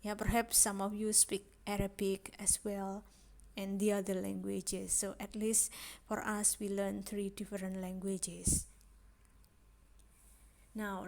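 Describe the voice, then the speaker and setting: A woman lecturer with an Indonesian accent talks slowly and patiently through her lesson. Her voice is mellow and soft-edged, and her pitch sits in a middle range. She keeps checking in with her listeners through repeated "yeah"s and rhetorical questions. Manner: unhurried, repeats points, asks rhetorical questions with frequent "yeah". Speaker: language lecturer. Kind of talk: recorded online lecture. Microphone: screen recording on a phone.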